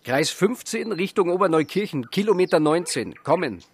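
A Bavarian patrolman with a highish, very lively voice. He sounds blunt and sceptical in natural radio-play dialogue, with faint outdoor noise behind. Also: normal speed. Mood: official.

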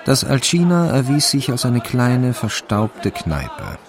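A man reading scripted literary narration for a radio crime drama, with a slightly gravelly voice. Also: mid-range voice, sing-song, slow pace.

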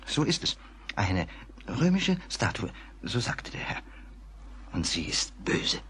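An actor playing a superstitious, gossipy rural servant in a German radio play, dramatic and exclaiming. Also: male voice, fairly low pitch, sing-song, slow pace, fairly bright tone, a little hiss or hum, full character voice. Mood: ominous, indignant.

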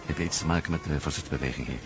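A man's voice: rumbling low, very fast, faint music behind. A man acting in a Dutch radio play as a blunt, suspicious adventurer who questions insistently. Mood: probing, insistent. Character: urgent, probing male American adventurer abroad, blunt and suspicious.

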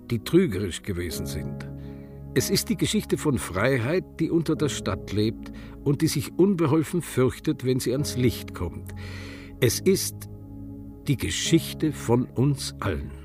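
A man's voice: medium pitch, sing-song, unhurried. An actor playing a reflective, self-mocking man of seventy-one, narrating his life in a radio drama. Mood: solemn, reflective. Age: older. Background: music.

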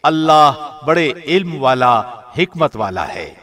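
A man reading a scripture translation in a measured, formal broadcast manner. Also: on the high side, very animated, a bit bright. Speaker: translation reader, radio host.

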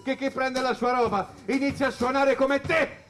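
An actor playing an ailing jazz musician in a dramatic stage monologue, looking back on wild nights from a hospital bed. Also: male voice, very high voice, moderate pace. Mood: bitter amusement. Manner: dramatic, swinging between brooding and excited.

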